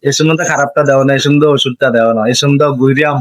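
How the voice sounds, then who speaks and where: A man's voice: mid-range voice, fairly even intonation, very fast, no echo. A young man with an eastern Bengali dialect, chatting casually in a teasing, repetitive way.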